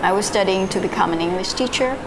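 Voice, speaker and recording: A young woman from Vietnam, a native Vietnamese speaker, telling her story as a podcast guest in a relaxed way with fillers and soft laughs. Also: mid-range voice, fast talker. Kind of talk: casual interview, recounting her story. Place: live-streamed online call. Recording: audible background noise.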